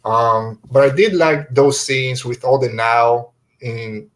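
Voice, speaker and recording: A man speaks as a podcast host in an easy conversational style. His pitch is average, and he talks through his thoughts informally, like someone chatting with guests. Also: fairly even intonation, slow pace. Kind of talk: casual podcast book discussion.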